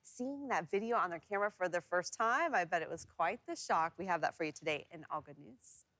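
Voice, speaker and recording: A woman's voice: lowish voice, lively intonation, a little fast, a bit bright, dry recording. A woman working as a TV weather forecaster, speaking in a casual, conversational broadcast style. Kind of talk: casual live weather report and banter.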